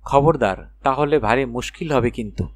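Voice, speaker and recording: A man's voice: mid-range voice, expressive, moderate pace, natural voice. Man voicing a threatening old witch woman. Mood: angry warning. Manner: scolding, warning. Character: stern, threatening old witch woman guarding her magic garden. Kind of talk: storybook character voice.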